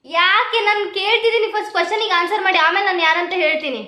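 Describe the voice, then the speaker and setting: A young woman with a very high, bright voice and a Kannada accent. She talks quickly and banters pushily, like a vlogger on a prank call. The room sounds echoey. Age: young adult. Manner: pushy, bantering, rapid questioning. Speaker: vlogger making prank calls. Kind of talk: casual teasing phone chat. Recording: roomy, echoey indoor sound.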